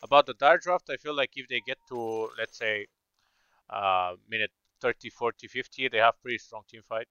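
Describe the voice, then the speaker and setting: A man's voice: medium pitch, normal speed, bright, forceful tone, smooth, dry recording. A man with a Bulgarian accent co-casting an esports match, analytical and hesitant with many fillers. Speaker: esports co-caster. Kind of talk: live game commentary. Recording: slightly dull, lo-fi mic.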